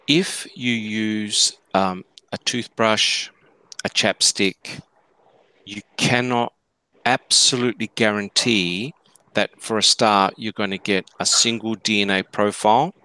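A man answering questions in a live discussion, explaining deliberately and emphatically, point by point. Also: on the low side, fairly even intonation, sharp tone, clean tone, no echo. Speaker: panelist answering listener questions.

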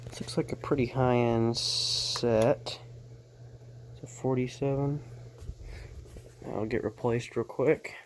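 A man's voice: mid-range voice, fairly even intonation, takes it slowly, pauses often. A man giving a casual, unscripted show-and-tell in an offhand mutter while working at a bench in a small cluttered workshop. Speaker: hobbyist electronics repairer showing equipment. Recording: handheld camera audio with clear background noise.

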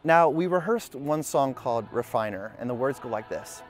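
A man's voice: medium pitch, expressive, normal speed. A man in his thirties hosting a family vlog, narrating in an upbeat, earnest way. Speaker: vlog host. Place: outdoors in a park. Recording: music bed behind voice.

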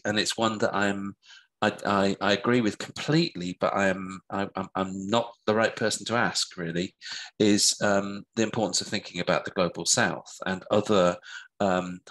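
Low-voiced middle-aged man on a headset call. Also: fairly bright tone, low-quality recording. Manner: thoughtful, many restarts and fillers. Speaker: guest speaker. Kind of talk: online seminar discussion. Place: home room. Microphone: headset on video call.

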